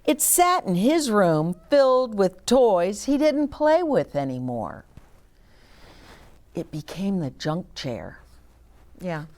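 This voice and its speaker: A woman's voice: on the low side, darkish tone. A woman reading a children's storybook aloud as a story time host, with short explanatory asides to young listeners.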